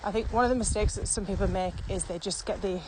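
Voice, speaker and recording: A woman's voice: medium pitch, fast, darkish tone, slight background noise. A British woman co-hosting a casual podcast as a training expert, explaining emphatically with repeated key phrases and rhetorical questions.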